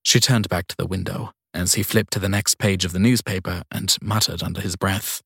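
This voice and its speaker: A man reads fiction as an audiobook narrator. His voice is low and slightly husky, with measured, descriptive delivery in a dry, close recording. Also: fairly even intonation, fairly bright tone, no echo.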